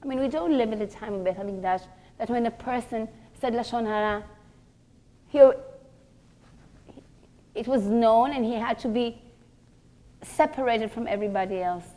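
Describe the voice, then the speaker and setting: High-pitched woman giving an earnest religious lecture. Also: normal speed, long pauses, warm tone. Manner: earnest, didactic, asks rhetorical questions. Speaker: religious teacher, rabbanit. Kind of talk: religious lecture to a group of women.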